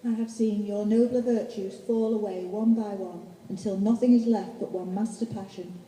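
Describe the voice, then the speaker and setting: A woman's voice: medium pitch, fairly even intonation, normal speed, mellow, lightly acted. A woman playing a heartbroken young sweetheart in an amateur radio play, earnest and reproachful. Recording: distant mic in echoey hall.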